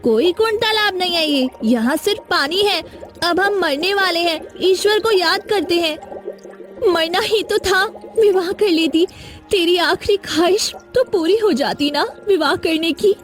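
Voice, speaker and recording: A woman's voice: extremely high pitch, measured pace, soft background music. A woman voicing an anxious, determined young village woman in a cartoon, earnest and dramatic. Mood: despairing.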